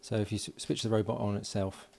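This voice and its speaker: A man giving relaxed, matter-of-fact running commentary as he solders at a home workbench, recorded by an overhead camera. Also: on the low side, quick pace, creaky. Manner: mutters along while working, relaxed and matter-of-fact. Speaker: electronics hobbyist. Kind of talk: casual build commentary.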